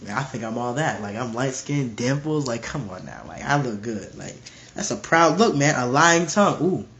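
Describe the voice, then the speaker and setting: A man with a stuffy nose casually reading Bible verses aloud and explaining them in slangy, animated commentary. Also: mid-range voice, normal speed, lo-fi sound, slight background noise. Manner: animated, slangy, acts out voices.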